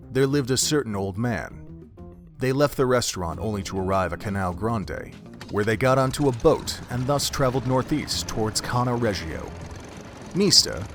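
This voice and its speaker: A man reading scripted story narration as the narrator of an audio drama. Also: on the low side.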